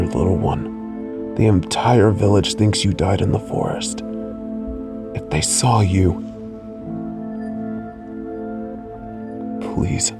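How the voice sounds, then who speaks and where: A man playing a tender, guilt-torn lover in an intimate audio roleplay, speaking in a hushed, halting, soothing way. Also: deep voice. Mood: pained, pleading. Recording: music under the voice.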